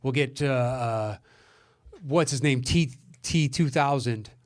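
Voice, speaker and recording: A man's voice: mid-range voice, slow pace, a little mellow. An American man co-hosting a casual film discussion, talking bluntly and swearing often. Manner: blunt, exasperated, frequently swearing. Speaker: podcast co-host.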